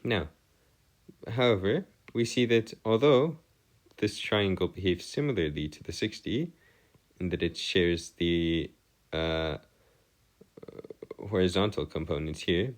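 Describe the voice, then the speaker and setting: A man giving an improvised lesson as a tutor, speaking in formal, bookish wording with a halting, hesitant delivery and frequent pauses. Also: deep voice, lively intonation. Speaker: math tutor. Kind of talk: improvised lesson explanation on trigonometry.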